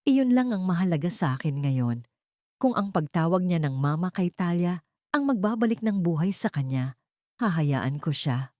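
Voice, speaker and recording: Woman voicing a calm, weary father, low voice. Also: moderate pace, no echo, clean studio sound. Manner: mild, tired. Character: calm, weary wealthy father defending his young son.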